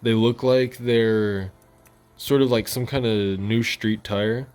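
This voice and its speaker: A man's voice: on the low side, fairly even intonation, takes it slowly, a little mellow, smooth. A man hosting a gaming channel, giving casual, informal news commentary in a loosely rambling, colloquial way. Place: voice-over on gameplay footage. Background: music.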